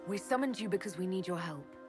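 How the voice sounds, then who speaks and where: An actress playing a composed, determined young heroine in a fantasy video game, speaking in an earnest, plain way. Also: female voice, lowish voice, lively intonation, fast talker, natural voice. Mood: pleading. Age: young adult. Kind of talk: dramatic video game acting. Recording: orchestral game music behind the voice.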